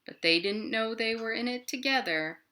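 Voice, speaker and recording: A woman's voice: fairly high pitch, normal speed, slight background noise. An American woman hosting a storytime for young children, reading and chatting expressively and speaking straight to the kids. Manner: expressive, addressing children directly with questions. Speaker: children's librarian and storytime host. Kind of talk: animated picture-book read-aloud and chat for young children. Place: indoors at her home.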